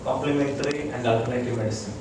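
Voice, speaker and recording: A man's voice: medium pitch, fairly even intonation, fast. A man with an Indian accent, a doctor giving an informal lecture to a small group, explaining in short repeated phrases and checking that his listeners follow. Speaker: doctor teaching integrative medicine. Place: room with a small audience. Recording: echoey room, distant mic, noisy.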